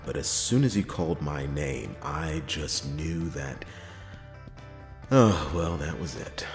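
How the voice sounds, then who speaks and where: A man's voice: extremely low pitch, gravelly, heavily acted. A man playing a world-weary, reluctant private detective in a hesitant, dramatic noir roleplay monologue. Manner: hesitant, drawn-out phrasing with pauses and sighing chuckles. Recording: echoey long reverb, music behind.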